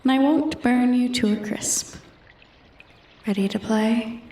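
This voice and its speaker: A woman voicing a bored, menacing female dragon who taunts a human thief in a slow, imperious, deliberate manner for a roleplay ASMR. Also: on the high side, warm tone, reverberant, distant mic. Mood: menacing, taunting. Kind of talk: roleplay ASMR, scripted character acting.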